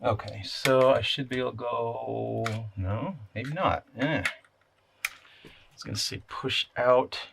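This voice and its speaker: A man's voice: medium pitch, slow. A man talking casually and unscripted as he does hands-on repair work, muttering to himself and answering his own questions. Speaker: electronics repair hobbyist hosting a video. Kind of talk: casual unscripted talk while working. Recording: clear background noise, clicking and clatter.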